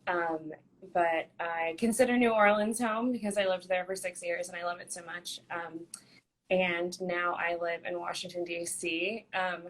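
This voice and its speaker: A woman's voice: lowish voice, steady tone, a little slow, rough recording. A young American woman hosting a casual live chat on a phone or laptop mic, bubbly, laughing often and filling with "um". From United States. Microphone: phone or laptop mic on a video livestream.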